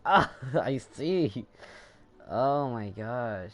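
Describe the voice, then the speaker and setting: A man casually commenting on a game as he plays, thinking aloud in a halting, rambling way. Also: medium pitch, expressive, a bit bright. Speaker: gamer playing and commenting.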